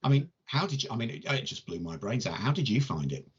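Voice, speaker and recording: A woman's voice: extremely low pitch, very animated, fast, breathy edge, highs cut off. A woman from North West England with a Liverpool accent, hosting a casual chat and calling people darling and love. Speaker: host.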